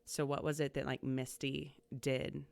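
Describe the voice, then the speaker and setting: A woman hosts a casual podcast, chatty and upbeat, stumbling into quick restarts as she asks her questions. Her voice is low and gravelly yet smooth. The sound is dry, with no room echo. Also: flat delivery. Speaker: podcast host, community college coach. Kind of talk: casual interview conversation.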